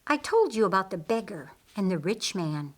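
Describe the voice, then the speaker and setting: A woman's voice: medium pitch, very animated, normal speed, lightly acted. Woman reading as a grave, reluctant brother. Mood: thoughtful. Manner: explanatory, grave. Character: reluctant, matter-of-fact brother in a Bible story. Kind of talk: audiobook character dialogue.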